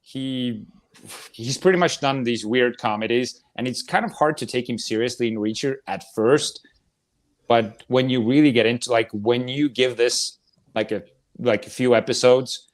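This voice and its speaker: Casual, enthusiastic man on a podcast. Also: mid-range voice. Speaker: podcast panelist. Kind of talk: casual chat about films and TV shows.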